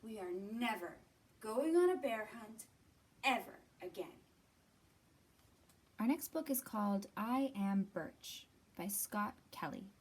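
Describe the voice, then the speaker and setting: A woman's voice: medium pitch, lively intonation, slow pace, long pauses, husky. Woman reading expressively to children. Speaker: library storytime host. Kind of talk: expressive children's picture-book read-aloud.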